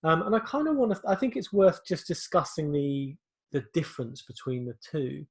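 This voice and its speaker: A man's voice: on the high side, big pitch swings, moderate pace. Hesitant man teaching online. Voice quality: loud voice. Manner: hesitant, many fillers and restarts. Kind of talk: online class briefing.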